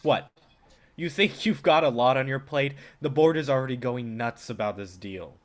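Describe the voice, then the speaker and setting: A man's voice: medium pitch, normal speed, close to an everyday voice. A man in a staged reading, playing a cynical, vengeful film distribution boss who belittles people and swears. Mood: heated lecturing. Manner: profane, belittling, driven.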